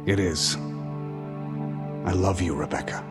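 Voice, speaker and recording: An actor playing a secretive, courteous 1930s industrialist husband in a noir audio drama, speaking with measured formality. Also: male voice, low-pitched, fairly even intonation, loud music behind the voice. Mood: tender, evasive. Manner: measured, hushed formality, evasive. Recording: echoey, reverberant room, faint noise.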